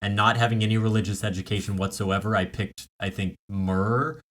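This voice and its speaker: A man's voice: lowish voice, fairly even intonation, fairly quick, a bit bright. A man co-hosting a podcast in casual, conversational chat. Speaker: podcast co-host.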